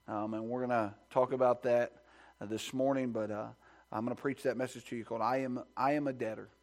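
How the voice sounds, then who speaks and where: A man pastor preaching a sermon in a church sanctuary, reading scripture and praying, at times hushed and at times rising with fervor. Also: steady tone, fairly quick. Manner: builds to loud, high-pitched preaching fervor.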